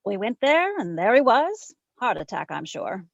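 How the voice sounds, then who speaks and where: An actress doing a quick-witted female private eye from a 1940s-style radio drama, all flip teasing banter. Her voice is mid-pitched, close and dry, and the recording sounds a little dull and lo-fi. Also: sing-song, vocal fry, no room sound. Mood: breezy. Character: wisecracking female private eye, breezy and flirtatious. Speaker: radio drama lead and narrator.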